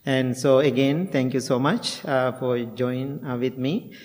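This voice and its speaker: A middle-aged man from Sri Lanka leads a guided meditation as a Buddhist monk. He speaks slowly and gently, with a level, unvarying tone and many soft pauses and fillers. His voice carries a long reverb tail. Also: medium pitch, steady tone. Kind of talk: guided meditation and dharma talk.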